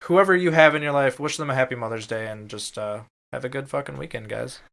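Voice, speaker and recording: A man's voice: mid-range voice, fast, vocal fry, a bit bright. Blunt, sweary man co-hosting a podcast. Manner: blunt, profane. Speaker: podcast co-host. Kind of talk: casual chat.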